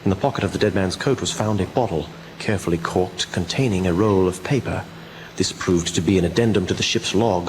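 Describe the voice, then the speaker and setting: An actor playing a grave doctor who reads evidence aloud in a measured, portentous radio drama style. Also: male voice, fairly low pitch, slight background noise, lightly acted, rough recording. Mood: ominous. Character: grave male doctor presenting evidence, reading aloud solemnly.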